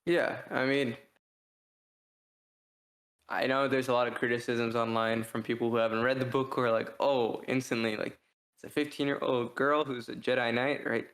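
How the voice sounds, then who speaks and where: A man's voice: mid-range voice, level tone, moderate pace, fairly bright tone. A man co-hosting a review podcast in a casual, discursive way, often posing questions as he thinks aloud. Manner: discursive, poses rhetorical questions. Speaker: podcast co-host reviewing books.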